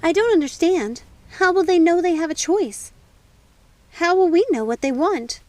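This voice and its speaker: Storybook young heroine, played by a female reader: high, urgent, distraught, defiant, recorded dry and close. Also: expressive, normal speed, no echo. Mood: pleading, bewildered. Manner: urgent, impassioned pleading.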